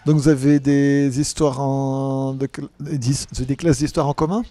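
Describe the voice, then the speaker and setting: A man interpreting a panel speaker simultaneously, talking in halting phrases with frequent restarts and self-corrections. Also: mid-range voice, flat delivery, dark tone. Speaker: conference interpreter.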